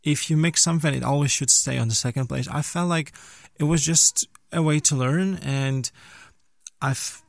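A man's voice: on the high side, moderate pace, creaky, dry recording, studio quality. A young man from Ukraine, a language teacher earnestly answering interview questions and sometimes searching for words. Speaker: guest, language teacher and graduate student.

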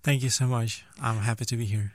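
A man's voice: mid-range voice, steady tone, brisk, no room sound, clean studio sound. A man in his twenties from western Ukraine, a native Ukrainian speaker, answering questions as an interview guest in a conversational way. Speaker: interview guest, language teacher and student.

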